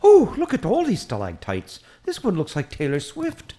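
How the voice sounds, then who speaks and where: A woman voicing a chatty, excitable Irish priest, a short man who rambles eagerly in an Irish accent in a comic audio drama. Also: fairly low pitch, moderate pace. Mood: delighted. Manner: rambling, eager, easily distracted.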